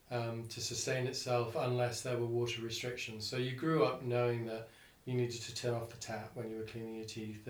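A man from Singapore recalling his upbringing in a measured way. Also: mid-range voice. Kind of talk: personal recollection. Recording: echoey room.

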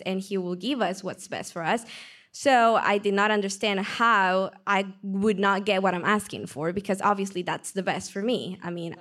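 A young woman from Peru with a Peruvian Spanish accent, telling her faith story in a lively way. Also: mid-range voice. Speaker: testimony speaker. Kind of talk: personal testimony.

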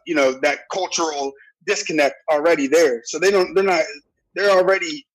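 A man with a loud, deep voice talking earnestly in a casual podcast discussion, often restarting his phrases. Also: fairly even intonation, normal speed, low-quality recording. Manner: earnest, restarts phrases, keeps asking "you know what I'm saying". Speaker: podcast guest.

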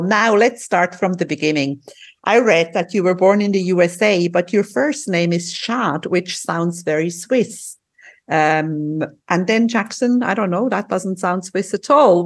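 Breathy-voiced woman hosting an interview. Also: on the low side, a little slow. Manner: warm, encouraging, with laughter and affirmations. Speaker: podcast host.

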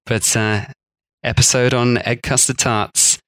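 A man co-hosting a casual food history podcast, chatty and quick to laugh at himself. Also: mid-range voice, steady tone, fairly bright tone, rough recording. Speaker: podcast co-host.

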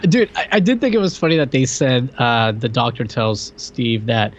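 A man co-hosting a podcast, chatting about a film in an informal, joking and halting way. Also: medium pitch, big pitch swings. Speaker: podcast co-host. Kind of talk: casual movie review chat. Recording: noticeable background noise.

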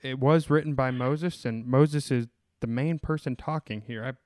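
Smooth-voiced man hosting, halting speech. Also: mid-range voice, darkish tone, no room sound, clean studio sound. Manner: halting, many fillers and restarts. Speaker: podcast host. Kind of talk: casual conversation about scripture.

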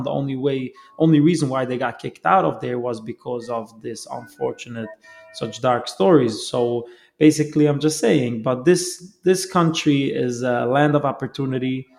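A man's voice: medium pitch, gravelly, a little mellow. A man hosting an interview, talking in an earnest, reflective way and often searching for his words. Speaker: host. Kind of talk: casual conversation.

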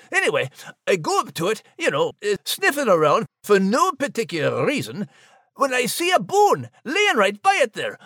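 Scrappy Scottish terrier for comic audio drama: husky, folksy, blunt, very animated swoops, dry close sound. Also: male voice, high voice, no echo, heavily acted. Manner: folksy, colloquial banter.

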